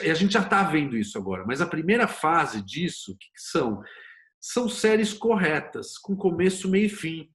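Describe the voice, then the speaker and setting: A middle-aged man with a Brazilian accent, speaking as an interview guest in a colloquial, opinionated, conversational way. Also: mid-range voice. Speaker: interview guest, series producer and screenwriter. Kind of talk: conversational interview talk with anecdotes.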